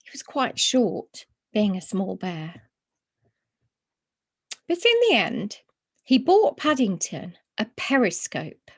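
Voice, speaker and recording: Female children's story reader, slow paced with very lively, varied intonation. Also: mid-range voice, husky.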